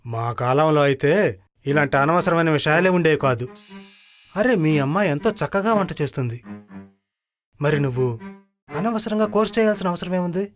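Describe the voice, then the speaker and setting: A man's voice: on the high side, expressive, normal speed, pauses often, soft background music, close to an everyday voice. A man playing a stern, stubborn middle-aged father who scolds and dismisses in a dramatized moral story. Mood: irritated. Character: stern, stubborn father, a street-food seller, dismissive of daughters.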